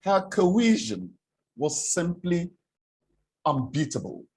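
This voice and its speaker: A man from Nigeria with an Igbo accent, lecturing on English speech for presenters in a deliberate way, repeating words and giving examples. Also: a little high, very animated, darkish tone. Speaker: presentation coach. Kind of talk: spoken lecture on English diction.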